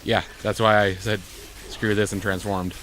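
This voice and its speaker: A man's voice: fairly low pitch, flat delivery, moderate pace, background noise. A man co-hosting a gaming show with casual, playful, wisecracking commentary. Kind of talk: casual joking let's play commentary.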